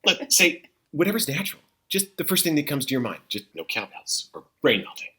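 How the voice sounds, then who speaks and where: A man voicing a wisecracking, sarcastic young friend in a comic table read, with dry asides and hushed exasperation. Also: fairly high pitch, big pitch swings, a little fast. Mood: exasperated.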